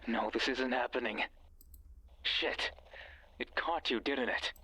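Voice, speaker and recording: A man's voice: mid-range voice, slow pace, sharp tone, slightly rough, no echo, exaggerated voice. An actor playing a mysterious, bossy male guide in a horror game, stern, ominous and condescending. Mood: scornful, alarmed. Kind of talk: horror visual novel voice acting.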